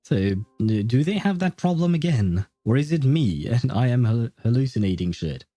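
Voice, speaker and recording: A man's voice: mid-range voice, lively intonation, normal speed, warm tone, no room sound. A man livestreaming a game, chatting casually in a hesitant, rambling way with chuckles and casual swearing.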